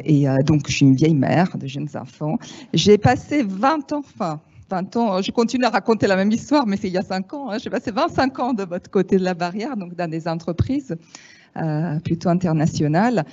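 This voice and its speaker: Italian woman, 50s, addressing an audience, lively and candid, warm low-lit timbre, lo-fi sound. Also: normal speed. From Italy. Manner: lively, frank, wry asides. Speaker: speaker at a panel. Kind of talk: talk to an audience. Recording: dull lo-fi sound.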